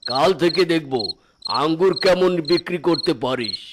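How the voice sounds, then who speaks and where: A man's voice: a little high, moderate pace, heavy background noise, lightly acted. A man voicing a grumpy, scheming tiger shopkeeper, the villain of a children's cartoon. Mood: angry scheming. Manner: grumbling, vengeful. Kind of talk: Bengali children's cartoon dub.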